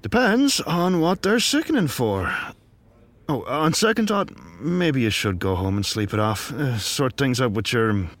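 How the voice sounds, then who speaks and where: An actor playing a chatty, nosy, wry bartender with a folksy, teasing way of talking in a 1930s noir audio drama. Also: male voice, mid-range voice, sing-song, a little slow, fairly bright tone.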